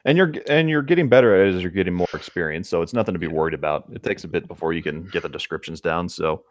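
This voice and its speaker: A man's voice: low-pitched, fast, dry recording, studio quality. A man who reads a written story aloud and drops into casual chat as a podcast host. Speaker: podcast host reading stories.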